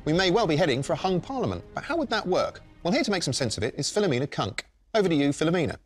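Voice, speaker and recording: A man's voice: on the high side, very animated, racing, soft background music. A man hosting a satirical programme, delivering scripted narration in a sardonic, mock-earnest manner. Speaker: host.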